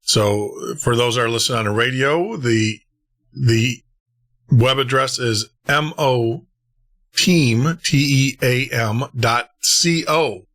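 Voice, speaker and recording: A man's voice: medium pitch, slow pace, fairly bright tone. A man hosting a late-night radio show and podcast, chatting casually, rambling and laughing at himself. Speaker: host.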